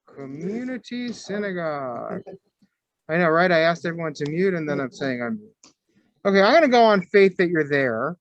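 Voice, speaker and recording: A man's voice: on the high side, big pitch swings, moderate pace, dry recording, lo-fi sound. A man hosting as rabbi, introducing a speaker on a video call in a chatty, hesitant way with many fillers. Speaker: rabbi and host. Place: room with people behind him. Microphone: camera.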